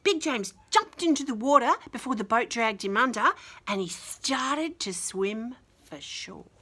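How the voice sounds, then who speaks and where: Animated, bright-voiced woman reading to children. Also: a little high, a little slow. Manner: expressive, dramatic, chatty with child listeners. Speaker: children's story reader. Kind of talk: animated picture-book read-aloud with casual asides.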